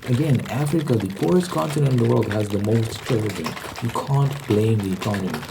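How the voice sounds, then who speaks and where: A man's voice: mid-range voice, a little fast, vocal fry, noisy background. A man giving a casual, rambling ASMR monologue, informal and hesitant, often repeating his words. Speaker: host.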